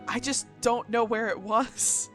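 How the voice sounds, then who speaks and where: A woman's voice: fairly high pitch, steady tone, unhurried, over music. A woman streaming a video game, chatting informally and musing aloud in casual commentary. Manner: informal, rambling, muses aloud.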